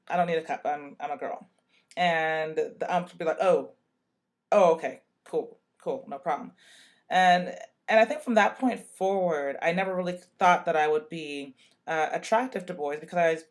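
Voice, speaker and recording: A woman with a deep voice casually telling a personal story in a recorded message to a youth project, in a relaxed, chatty way full of fillers. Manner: chatty, relaxed, many "like" and "you know" fillers.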